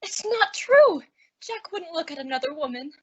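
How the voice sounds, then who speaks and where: A woman's voice: very high voice, very animated, normal speed. A woman playing a wavering, lovelorn young wife in an old-time radio drama. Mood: upset.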